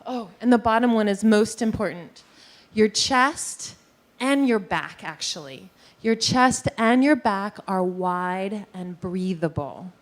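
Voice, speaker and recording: A woman giving a lively presentation on posture and breathing to a live audience in a meeting room, guiding them through movements. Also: medium pitch, slow pace. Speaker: posture and breathing teacher giving a workplace talk.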